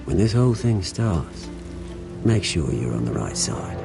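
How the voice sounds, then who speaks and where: A man's voice: low voice, sing-song, measured pace, vocal fry, heavily acted. A male actor with a British accent playing a bitter, swaggering revolutionary criminal in a video game, sardonic and menacing. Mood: sly warning. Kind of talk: dramatic video game acting. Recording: music behind. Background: music.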